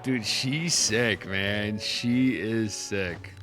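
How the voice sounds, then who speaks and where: A man's voice: fairly low pitch, drawn-out words, a bit bright, soft background music. A young man streaming his casual, slangy reactions to a game, often repeating his phrases.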